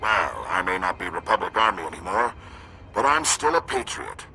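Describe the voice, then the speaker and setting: A man's voice: low voice, big pitch swings, moderate pace, heavily acted. An actor playing a defiant veteran army commander who addresses his troops in plain, rousing, soldierly speech in a video game. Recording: loud music under the voice.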